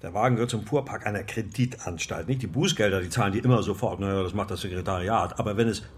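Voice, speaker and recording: An actor playing a gruff, stubborn older uncle with a curt, self-righteous manner in a German radio drama. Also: male voice, on the low side, quick pace, a little hiss or hum, natural voice. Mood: guarded.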